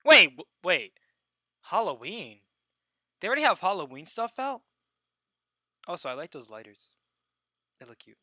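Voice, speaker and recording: A young man streaming a video game, joking and excitable, swinging between loud outbursts and low muttering. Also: moderate pace, long pauses, dry recording, clean studio sound. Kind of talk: casual gameplay commentary.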